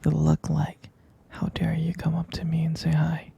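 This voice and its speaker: A man acts out a tender, protective vampire boyfriend in an intimate ASMR roleplay. His voice is a very husky, breathy murmur, thick with creak and very soft and dark. He takes his time, hesitating between affectionate pet names and gentle teasing. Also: on the high side, completely flat delivery, moderate pace, heavily acted. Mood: fond, teasing.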